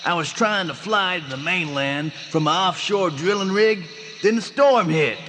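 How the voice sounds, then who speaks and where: An actor playing a casual, practical helicopter pilot in a radio drama, talking in an informal, chummy way. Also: male voice, high-pitched, a little slow, bright, forceful tone. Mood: matter-of-fact. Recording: noisy radio drama, long reverb.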